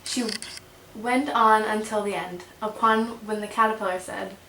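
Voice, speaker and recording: A woman's voice: medium pitch, fairly even intonation, unhurried, bright, forceful tone, slight background noise. A woman narrating a storybook puppet show. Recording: roomy, echoing home recording.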